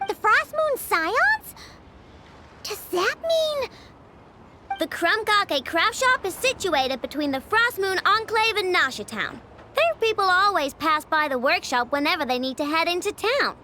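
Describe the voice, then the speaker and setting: A woman playing a feisty, blunt young girl inventor in a video game, with a childlike, expressive delivery. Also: extremely high pitch, a little slow, background noise. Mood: uneasy.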